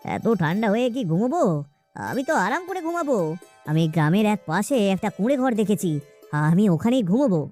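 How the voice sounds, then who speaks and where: A woman's voice: medium pitch, big pitch swings, fast, dark tone, soft background music. A woman voicing a poor, scheming village husband in a cartoon story, with colloquial, exclaiming, grumbling speech. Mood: bossy, irritated.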